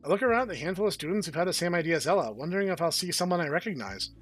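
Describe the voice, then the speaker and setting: A young man hosts a let's play, narrating a visual novel aloud. He has a high-pitched voice and slips in wry, joking asides. Faint music sits beneath him. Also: animated, racing, husky.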